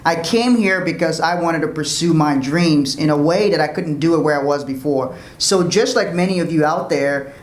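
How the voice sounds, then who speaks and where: Earnest man in an echoey room. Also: a little high. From Liberia. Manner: earnest, with frequent restarts. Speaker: guest, financial professional. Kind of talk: interview storytelling.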